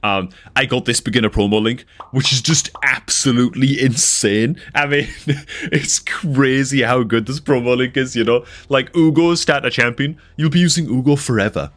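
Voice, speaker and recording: A man's voice: mid-range voice, normal speed, a bit bright. A young man from northeast England, near Newcastle, with a somewhat mixed northeast English accent, chatting loosely with his stream chat and using lots of fillers. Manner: rambling, filler-heavy, talks to chat. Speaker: gaming streamer. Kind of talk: casual livestream chat.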